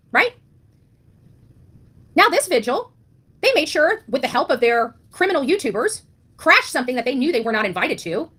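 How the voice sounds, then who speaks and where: A woman with a somewhat high voice gives impassioned online commentary. She is blunt and indignant, driving her points home with rhetorical questions and repeated phrases. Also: a bit bright. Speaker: online commentator on a missing-child case.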